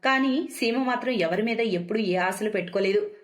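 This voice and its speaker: A woman's voice: mid-range voice, fairly quick. A woman storyteller reading scripted narration for a moral story.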